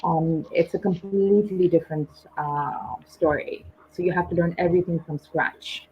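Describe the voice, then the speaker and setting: A woman's voice: lowish voice, steady tone, normal speed, muffled recording. Patient woman teaching English on a live stream. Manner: patient, explanatory, with frequent ums. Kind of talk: live online English lesson.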